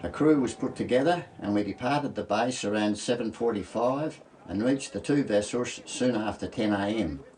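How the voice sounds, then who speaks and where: A man's voice: on the low side, moderate pace, hardly any pauses, a little mellow. An Australian man reading a scripted rescue report as a coast guard narrator. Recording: clearly noisy voice-over track.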